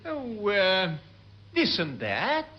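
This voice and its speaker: An actor playing a vague, evasive, genially superior naval first officer with an upper-class English accent in an old radio sitcom. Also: male voice, high voice, huge pitch swings, extremely slow, highs cut off, a crowd in the background, heavily acted. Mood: evasive, airy. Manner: dithering, airy, evasive. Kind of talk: 1950s-60s British radio sitcom acting. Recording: noisy, hissy old radio comedy recording.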